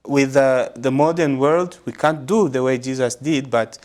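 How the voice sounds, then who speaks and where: Measured man, frequent pauses. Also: medium pitch, a little mellow. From Congo. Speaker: guest, religious brother and psychologist. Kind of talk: interview answers.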